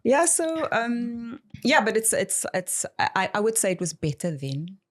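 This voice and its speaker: A woman's voice: a little high, very animated, a little slow. A South African woman with an Afrikaans accent, a native Afrikaans speaker, chatting casually and animatedly as an interview guest. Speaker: podcast guest, doctor.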